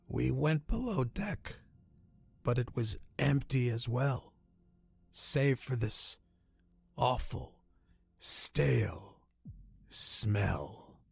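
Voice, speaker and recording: An actor playing a dying old castaway in a radio drama, weak and haunted, telling his story in halting, solemn, old-fashioned phrases. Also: mid-range voice, heavily acted. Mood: dread. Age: older. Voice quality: weak, raspy, breathy.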